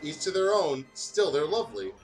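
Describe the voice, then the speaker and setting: Man putting on a high, gushing teenage schoolgirl. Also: normal speed, recorded from a distance, faint music behind, natural voice, poor audio. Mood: gushing, friendly. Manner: gushing, eager. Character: enthusiastic, kindly teenage schoolgirl friend in a fanfic.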